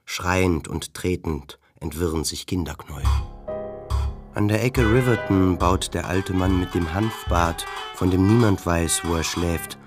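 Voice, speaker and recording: A man narrating a literary radio drama in a measured, descriptive storytelling manner. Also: sits low. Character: sober male narrator of a city novel. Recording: music behind the voice.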